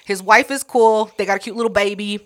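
A woman's voice: mid-range voice, a little fast, a bit bright. A woman co-hosting a podcast, chatty and teasing in casual banter. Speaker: podcast co-host.

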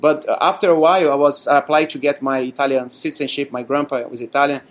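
A man from Brazil with a Brazilian Portuguese accent, telling his story as a guest in a casual interview, chatty and quick to laugh. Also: medium pitch, fairly even intonation. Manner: chatty, laughs often, many fillers. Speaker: guest, cafe owner.

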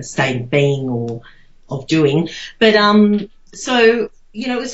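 An Australian woman giving casual, reflective interview answers in a rambling, conversational way, with lots of "you know". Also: low voice. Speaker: interview guest. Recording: echoey room, slightly dull sound.

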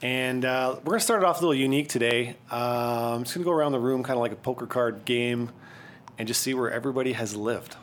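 A man's voice: mid-range voice, fast talker, a little hiss or hum. Laid-back male podcast host. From Quebec, Canada. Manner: relaxed, laughs often, easygoing. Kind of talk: casual chat.

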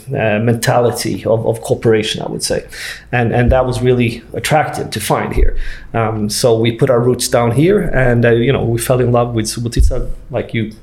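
A man's voice: mid-range voice, a little mellow. A man in his forties who grew up in Sweden, speaking as a guest in an easygoing talk, with lots of fillers and restarts. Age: 40s. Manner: halting, many fillers and restarts. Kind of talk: casual conversation.

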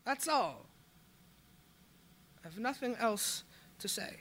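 High, bright-voiced young male poet. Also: lively intonation. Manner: numbered, list-like recitation, earnest and measured. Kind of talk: spoken word poetry performance.

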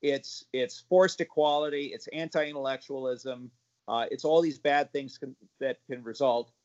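A man speaking as a parent activist on a panel, halting, with many repeated words and restarts. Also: medium pitch, fairly even intonation. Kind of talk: panel discussion remarks. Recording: muffled lo-fi audio.

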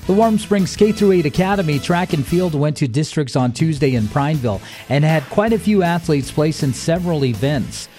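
A man's voice: fairly high pitch, dark tone. A man reading a scripted local news and sports bulletin as the newsreader. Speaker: local radio news reader.

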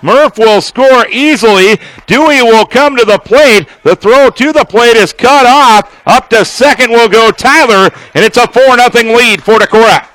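A man with an American accent giving live baseball play-by-play on the radio, in measured, descriptive phrases with pauses between them. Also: very high voice, fairly bright tone, no room sound, rough recording. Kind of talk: live sports play-by-play commentary.

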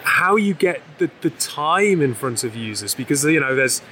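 A man's voice: fairly high pitch, lively intonation, normal speed, pushed and bright, slight background noise. A man interviewing guests on a trade show floor, asking chatty, rambling questions with many fillers.

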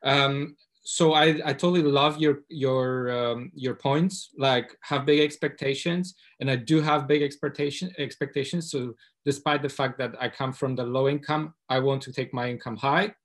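Man, mid pitch, nervous questioner who stops and restarts a lot. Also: steady tone, normal speed. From Poland. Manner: hesitant, many uhs and restarts. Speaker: audience member asking a question.

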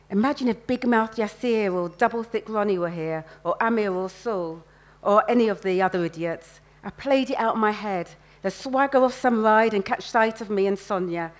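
A woman reads first-person fiction aloud at a live event. Her voice sits at a middle pitch, and she tells the story expressively, as the narrator living it. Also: animated, normal speed. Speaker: writer reading own fiction.

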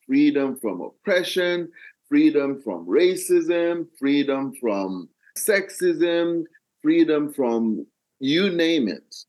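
An older man with a Malawian accent, telling his own story as an interview guest in a deliberate, phrase-by-phrase way. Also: medium pitch, a little mellow. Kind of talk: reflective personal storytelling in an interview.

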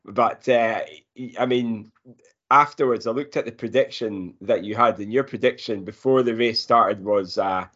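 A man's voice: on the low side, normal speed, a bit bright, lo-fi sound. A man co-hosting a podcast in casual sports chat. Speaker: podcast co-host.

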